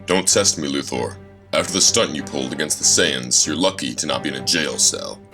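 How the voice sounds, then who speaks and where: A stern, suspicious hero in a fan audio drama. The voice sits extremely low with a hard, tense edge, and every line lands as a curt accusation over light music. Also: male voice, a little fast, bright, forceful tone, full character voice. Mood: stern, threatening. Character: stern, suspicious male superhero, grim and threatening.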